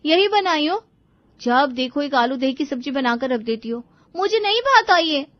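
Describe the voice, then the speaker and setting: Irritable young daughter played by a female narrator, cartoon family story. High, forceful delivery, sarcastic and complaining. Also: expressive, normal speed, no room sound, muffled recording. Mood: irritated. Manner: complaining, taunting.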